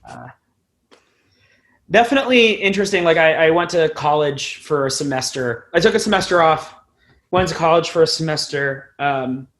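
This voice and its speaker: A man's voice: on the high side, expressive, normal speed, fairly bright tone. A man in his twenties chatting casually as a musician being interviewed, rambling and self-deprecating. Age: 20s. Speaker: podcast guest, band musician.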